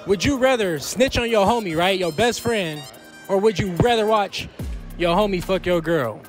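A man's voice: high voice, moderate pace, faint music behind. A young man interviewing strangers in a shopping mall, teasing them and laughing often, talking in heavy slang. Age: young adult. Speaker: street interviewer. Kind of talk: playful public interview. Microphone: handheld phone held out.

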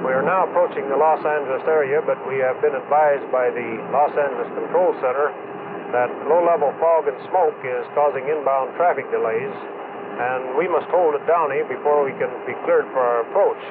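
A man playing a courteous airline captain making a measured, formal cabin announcement in a 1960s film. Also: mid-range voice, close to an everyday voice. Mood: calm, formal. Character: polite male airline captain addressing passengers. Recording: muffled old film soundtrack, clear noise.

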